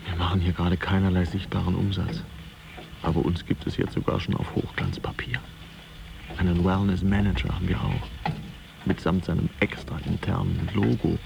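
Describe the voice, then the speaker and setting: An actor playing a fitness studio trainer with a creaky voice, giving instructions and studio pitches in a radio drama. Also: male voice, flat delivery, moderate pace, noisy background. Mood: promotional. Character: brisk male fitness studio trainer with sales jargon. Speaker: fitness coach. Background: music.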